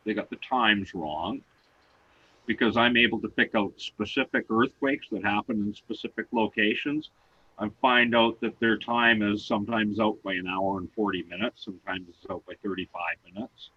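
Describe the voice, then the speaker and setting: Loud-voiced man patiently explaining. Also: fairly low pitch, steady tone, moderate pace, breathy edge, no room sound, dull audio. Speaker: co-host explaining seismograms. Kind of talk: online talk show discussion.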